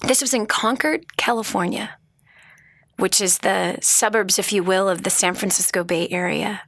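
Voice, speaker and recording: A woman's voice: mid-range voice, normal speed, creaky, a bit bright, no room sound, studio quality. A woman hosting a radio talk show, speaking earnestly to listeners with rhetorical questions and frequent restarts. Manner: frequent restarts and repeated words. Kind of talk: motivational talk show monologue.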